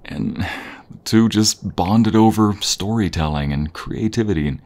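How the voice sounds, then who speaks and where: Man acting as nerdy jock boyfriend, deep low pitch, unhurried pace, affectionate, teasing, intimate audio roleplay. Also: darkish tone. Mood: playful, animated. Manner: affectionate, teasing, breathy pauses and chuckles.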